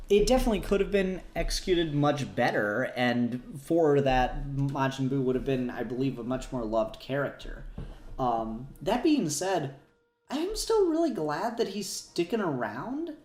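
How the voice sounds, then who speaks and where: A man's voice: mid-range voice, expressive, unhurried, darkish tone. Echoey, rambling man chatting casually. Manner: rambling, profane. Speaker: podcast co-host. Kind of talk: casual fan discussion. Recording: roomy, echoey voice recording.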